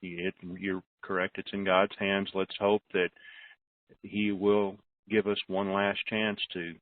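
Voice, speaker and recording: Low-voiced man hosting a phone conference. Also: flat delivery, slow, no echo. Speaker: conference call host. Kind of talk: casual call moderating. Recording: dry narrow phone-like call audio.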